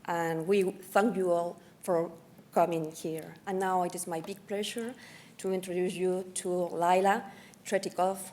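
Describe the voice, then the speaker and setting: A woman's voice: lowish voice, fairly even intonation, unhurried, a little mellow, a little hiss or hum. A woman hosting an event, giving formal remarks and introducing the speaker, with small slips. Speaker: event host.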